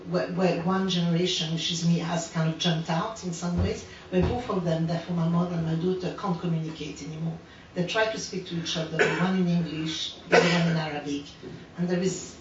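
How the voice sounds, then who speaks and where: A woman's voice: on the low side, a little mellow, slight background noise. A woman, giving an unscripted artist talk, hesitant, with many fillers and restarts. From France. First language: French. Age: 40s. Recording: echoey room, distant mic, dull sound.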